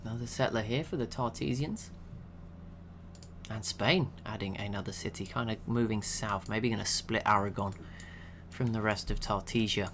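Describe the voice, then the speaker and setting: A young man giving relaxed, chatty commentary over a strategy game he is watching, laughing to himself now and then. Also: fairly low pitch, moderate pace, a little hiss or hum. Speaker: gaming channel host.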